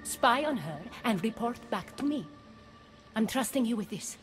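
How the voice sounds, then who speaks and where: A woman performs a proud goddess who rules an underworld paradise in a video game. Her voice sits high and her delivery is theatrical and commanding, with an undercurrent of hurt. Faint music plays behind her. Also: expressive, moderate pace, lightly acted. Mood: guarded, confiding. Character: imperious, wounded goddess queen of the underworld paradise. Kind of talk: dramatic video game cutscene acting.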